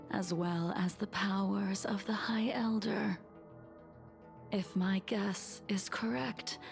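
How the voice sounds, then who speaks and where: A remorseful swordswoman who speaks in a slow, hushed half-whisper with a gravelly rasp underneath. She sounds calm and distant, and game music plays behind her. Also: mid-range voice. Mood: solemn. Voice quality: soft, whispery delivery. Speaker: game character. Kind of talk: game cutscene voice acting.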